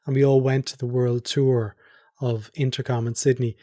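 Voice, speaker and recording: A man with an Irish accent and an average-pitched voice hosts a casual podcast chat. He talks informally and anecdotally, and the sound is very dry. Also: level tone, moderate pace, dark tone, no echo. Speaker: podcast host, interviewer.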